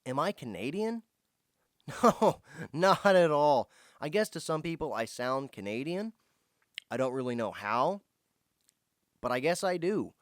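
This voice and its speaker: A man's voice: on the high side, big pitch swings, unhurried, pauses often, no room sound, clean studio sound. A man speaking in an earnest, easygoing way as he answers viewer questions in a casual vlog. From United States, Indiana. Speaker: host of a foraging and herbal channel.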